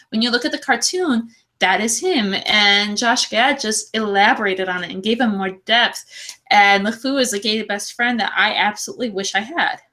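A woman's voice: on the high side, bright, forceful tone. A woman co-hosting a podcast, chatting casually about films in a gushing, slangy, effusive way. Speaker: podcast co-host.

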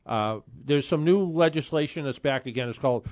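A man in his sixties hosts a car show in a casual, rambling way, often restarting his sentences. His voice sits a little high for a man. The sound is dry and close, with no room around it. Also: fairly quick, darkish tone, dry recording. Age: 60s. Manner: chatty, rambling with frequent restarts. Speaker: radio car show host. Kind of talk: casual radio talk.

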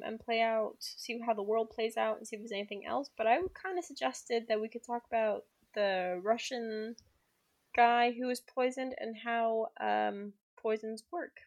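A woman's voice: on the high side, moderate pace. A woman co-hosting a podcast, giggly and easygoing in casual chat. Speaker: podcast co-host.

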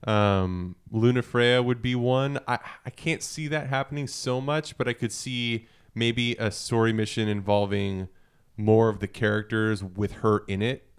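A man's voice: fairly low pitch, measured pace, clear, resonant tone. A man talking casually on a video game podcast, explaining things in a hedging way. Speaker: podcast panelist.

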